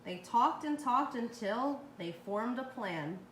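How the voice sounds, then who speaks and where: A woman's voice: fairly high pitch, expressive, slow pace. A woman reading a children's story aloud expressively as a storyteller. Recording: roomy, echoey with faint noise.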